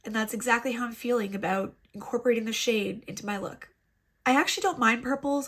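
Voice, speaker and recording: A woman vlogger talks through a makeup tutorial in a chatty, self-deprecating way, her voice a touch high and audibly stuffed up from congestion. She sounds like she is at home, recorded on a laptop microphone. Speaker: beauty vlogger. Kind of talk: casual makeup tutorial chat. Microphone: laptop built-in mic.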